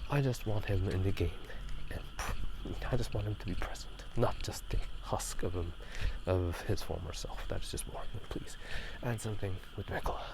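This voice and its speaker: A man doing casual ASMR game talk in a soft, breathy half-whisper, musing in a halting, rambling way. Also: on the low side. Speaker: ASMR video host. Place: outdoors at night. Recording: noisy outdoor ambience. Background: drainage pipe water, passing cars.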